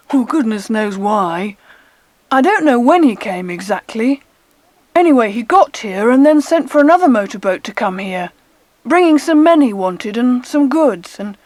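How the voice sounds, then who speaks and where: A woman playing excitable, adventurous English schoolchildren in a dramatised children's audiobook, speaking emphatically. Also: fairly high pitch, measured pace, no echo. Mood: surprised. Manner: emphatic, exclamatory. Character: excitable adventurous British schoolboys and girl on a treasure hunt.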